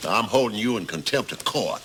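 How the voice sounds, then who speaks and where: Stern, low-voiced older judge. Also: male voice, lively intonation, fairly quick, sharp tone, a little hiss or hum. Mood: stern displeasure. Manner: stern, authoritative. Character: stern older male judge, easily offended. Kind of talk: film courtroom dialogue.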